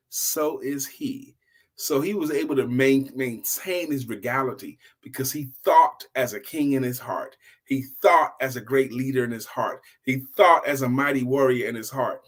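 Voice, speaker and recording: An older man telling an informal bedtime story in a folksy way, often repeating phrases for emphasis. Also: mid-range voice. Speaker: storyteller, host.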